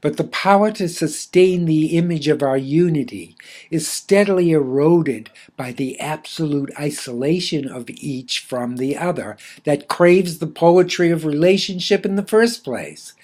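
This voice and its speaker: A man reading his own philosophical essay aloud in a measured, deliberate, formally literary way. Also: on the high side, a little mellow.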